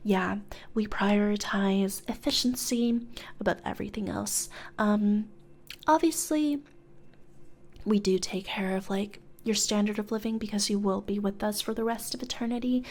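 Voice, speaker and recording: A woman playing a businesslike, politely sinister female demon recruiter in a soft, breathy ASMR whisper, close to the microphone. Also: mid-range voice, lightly acted. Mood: businesslike, explaining. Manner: hesitant, measured corporate politeness, murmured. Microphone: close studio condenser microphone.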